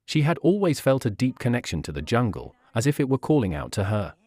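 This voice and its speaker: A man's voice: mid-range voice, big pitch swings, brisk, a little mellow, breathy edge, no room sound. A man narrating a scripted fairy tale for children as a storyteller.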